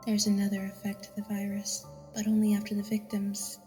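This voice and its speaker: Woman playing a ghostly young pony girl, solemn, even-toned, soft dark timbre, long reverb, music behind. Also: mid-range voice, natural voice. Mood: calm, explaining. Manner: solemn, measured storytelling. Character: ghostly young filly, solemn victim of an epidemic.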